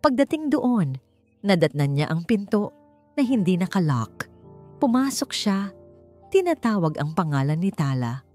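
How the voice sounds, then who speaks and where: A woman's voice: mid-range voice, big pitch swings, measured pace, long pauses, darkish tone. A woman reading a scripted romance story as a dramatic narrator. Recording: music under the voice. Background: background music.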